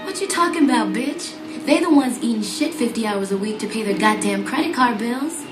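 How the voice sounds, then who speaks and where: A woman's voice: on the high side, animated, brisk, natural voice. A woman playing a brash, foul-mouthed assassin mentor who talks in commanding, taunting slang in a motion comic. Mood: brash, goading. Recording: roomy sound over clear music.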